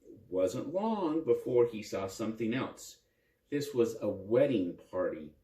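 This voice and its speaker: Slow, mellow man telling children a story. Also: medium pitch, very animated, recorded from a distance. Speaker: priest telling bedtime stories. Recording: roomy echo with faint music.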